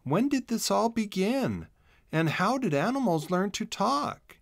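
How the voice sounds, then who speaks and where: A man voicing a curious, gentle girl in a children's storybook, with an innocent, wondering manner. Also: high-pitched, sing-song, measured pace, vocal fry, no echo, studio quality, voice pushed up, exaggerated voice. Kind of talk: children's storybook read-aloud.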